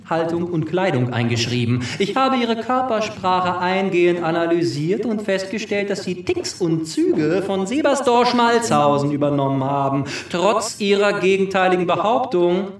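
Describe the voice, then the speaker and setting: A man playing a pompous, long-winded detective who ceremoniously unveils a murderer to the suspects in a theatrical comedy. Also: sits high. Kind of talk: theatrical comic monologue before an audience. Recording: echoey hall, distant microphone.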